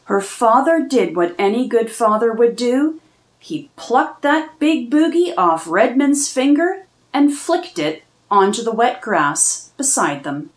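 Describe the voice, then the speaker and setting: Slow, lively storybook reader, woman. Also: on the high side. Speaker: children's book reader. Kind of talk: storybook read-aloud.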